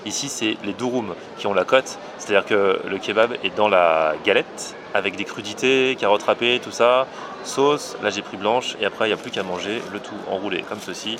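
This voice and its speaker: A man's voice: lowish voice, moderate pace, a crowd in the background. A man talking casually and informally to camera as a vlog host, explaining things as he goes. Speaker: food vlogger and host. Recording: loud music under the voice.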